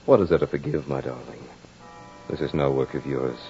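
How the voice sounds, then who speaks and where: An actor playing a tormented, devoted husband in an old-time radio horror drama, with melodramatic, theatrical delivery. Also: male voice, extremely low pitch, fairly even intonation, brisk, warm tone. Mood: pleading, tender. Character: tormented, devoted husband haunted by a vengeful phantom. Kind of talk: old-time radio horror acting, first-person narration. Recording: dull vintage radio recording with music.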